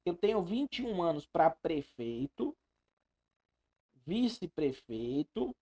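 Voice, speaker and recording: A man teaching an animated live exam-prep class, emphatic, repeating phrases and putting questions to his students. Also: a little high, slow, slightly rough. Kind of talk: animated live online lecture.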